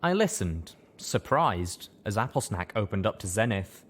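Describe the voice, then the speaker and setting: A man reads a scripted first-person story as an audiobook narrator. His voice is on the low side, and his intonation is highly animated and expressive. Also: normal speed.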